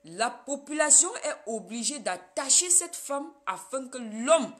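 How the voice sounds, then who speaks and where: A woman's voice: sits high, animated, unhurried. A woman from Cameroon, a Yemba speaker, hosting a casual live stream and talking straight to her viewers.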